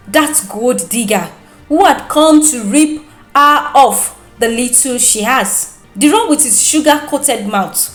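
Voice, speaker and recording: A woman with a Nigerian accent narrating a folktale in an expressive, deliberate, dramatic way. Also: on the high side, echoey room. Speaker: storyteller, narrator.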